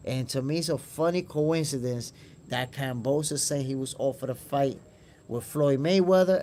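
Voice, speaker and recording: Boxing stream host: Dominican New Yorker, friendly and chatty, repeats himself, slightly high voice. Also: male voice, a little mellow. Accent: New York. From Dominican Republic. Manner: chatty, calls viewers "my brother", repeats words. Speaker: boxing podcast host reading live chat. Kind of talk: casual livestream commentary.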